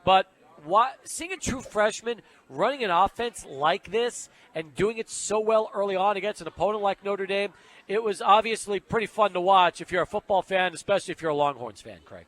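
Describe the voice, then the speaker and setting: A male sports talk host with a distinctly high-pitched voice. He is chatty and conversational, rolling through long run-on setups on his way to a question. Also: moderate pace, a bit bright. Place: radio studio.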